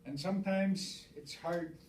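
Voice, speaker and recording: A man's voice: high voice, unhurried, darkish tone, echoey room, recorded from a distance, a little hiss or hum, poor audio. A man whose first language is Stoney, teaching in an informal spoken way, repeating words, counting aloud and restarting phrases. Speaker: elder teacher.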